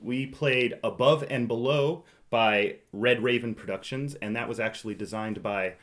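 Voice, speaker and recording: A man's voice: mid-range voice, normal speed, a bit bright. A man hosting a casual board game podcast, talking in a teasing, bantering way. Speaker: podcast host.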